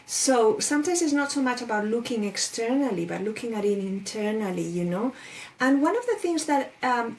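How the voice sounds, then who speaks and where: A woman in her forties from Spain with a Spanish accent, talking thoughtfully as a guest life coach over a video call, with fillers and restarts. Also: a little high. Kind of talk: casual interview chat.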